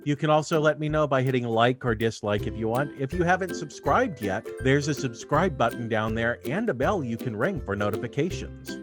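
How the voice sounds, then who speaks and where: A man hosting a video, reading scripted narration. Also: medium pitch. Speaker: video host.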